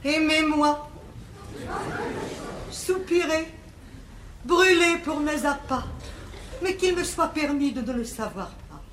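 An actress playing a vain, coquettish older spinster aunt in a classical verse comedy, with grand, affected 1950s stage declamation. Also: female voice, sits high, slow, long pauses, fairly bright tone. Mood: coy, haughty. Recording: echoey, hissy 1950s theatre recording.

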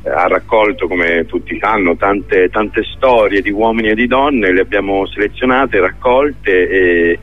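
A man's voice: fairly low pitch, measured pace, few pauses. A man speaking as a theatre director in a conversational radio interview, hesitant and searching for his words. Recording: thin phone-like radio line.

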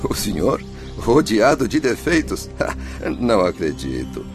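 A man's voice: lowish voice, sing-song, a little slow. An actor in a radio drama playing a troubled, pleading father in the afterlife, a man with a Brazilian accent and a deferential, emotional manner. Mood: deferential, questioning. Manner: deferential, emotional, imploring, hesitant. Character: anguished, pleading male suicide's spirit, humble devoted father. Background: music.

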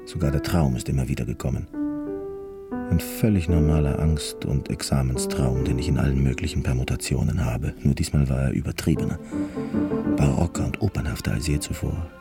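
A man's voice: extremely low pitch, fairly quick, gravelly, far from the mic, over loud music. An actor playing a neurotic, eccentric male concert pianist who muses confidingly in an introspective radio play monologue. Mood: anxious, musing. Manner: introspective, musing narration.

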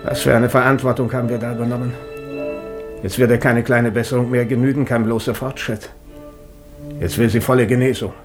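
An actor playing a stern, passionate doctor in a German radio drama, incisive, sardonic and insistent. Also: male voice, medium pitch, little pitch movement, fairly quick, over music, natural voice. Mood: dismayed.

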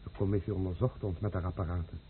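A man's voice: low-pitched, fairly even intonation, quick pace, lightly acted. An actor playing a grim, resigned outcast fisherman giving plain testimony in a solemn radio play. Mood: grave testimony. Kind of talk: solemn radio drama chorus acting. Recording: muffled noisy old radio play recording.

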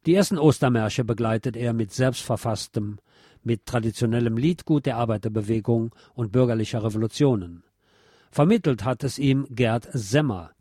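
A man's voice: medium pitch, a little mellow, no echo, studio quality. A man presenting scripted documentary narration for a radio programme.

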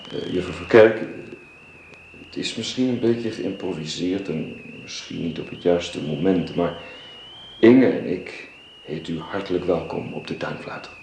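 A man's voice: sits low, a little slow, vocal fry, darkish tone. An actor playing a courteous, embarrassed, affectionate father in a radio play, speaking formally and hesitantly. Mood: apologetic. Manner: hesitant, formal, repeats his words. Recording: roomy echo, faint noise.

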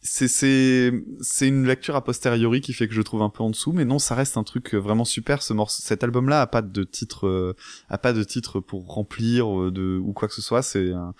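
A man's voice: medium pitch, steady tone, moderate pace, darkish tone, dry recording, studio quality. A man co-hosting a music podcast, giving an enthusiastic, casual album review with hesitant phrasing.

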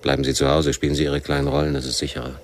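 A low-voiced, world-weary guerrilla captain. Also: male voice, little pitch movement, a little hiss or hum. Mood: weary, cynical. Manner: measured interrogation with abrupt probing questions. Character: world-weary, shrewd Palestinian guerrilla captain, coolly probing. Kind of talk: radio crime drama acting.

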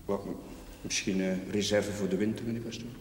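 Actor as an eager curate, distant and echoey. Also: male voice, on the low side, fairly even intonation, brisk, natural voice. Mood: sheepish, deferential. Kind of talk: television period drama acting. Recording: echoey, distant, clearly noisy TV soundtrack.

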